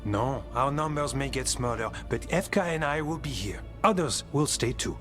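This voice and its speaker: Expressive, earnest male warden played by an actor. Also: mid-range voice, moderate pace, close to an everyday voice. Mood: earnest, reflective. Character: earnest, hopeful male warden who cherishes nature. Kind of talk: video game dialogue acting. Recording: game music underneath.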